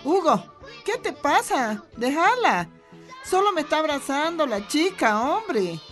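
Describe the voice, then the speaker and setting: A woman's voice: sits high, very animated, a little slow, fairly bright tone, soft background music, full character voice. An actress with a Bolivian accent playing an affectionate, protective grandmother who speaks her mind in a radio drama. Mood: reproving. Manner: doting, then firmly reproving.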